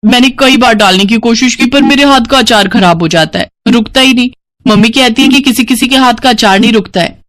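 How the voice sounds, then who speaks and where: Lady doing a friendly young gal pal in a story, higher voice, eager and admiring. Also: a little fast, a bit bright, natural voice. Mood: eager request.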